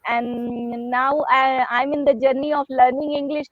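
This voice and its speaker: High-voiced woman, hesitant. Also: flat delivery, unhurried, dry recording, muffled recording. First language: Hindi. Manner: hesitant, many fillers and restarts. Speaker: English learner, homemaker. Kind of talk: conversation practice session. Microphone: video call.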